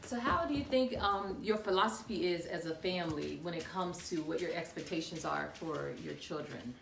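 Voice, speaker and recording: Brief: woman therapist, calm and measured, gravelly, roomy echo. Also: lowish voice. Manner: calm, measured, asks reflective questions. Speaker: therapist in a role-played session. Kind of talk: counseling session role play.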